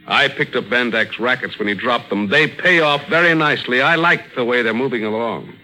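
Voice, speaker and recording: An actor in a 1940s radio drama playing a menacing racketeer who gives measured threats in gangster slang. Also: male voice, medium pitch, pushed and bright, poor audio. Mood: cold, boastful. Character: menacing, smooth-talking male racketeer. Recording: vintage 1940s radio recording.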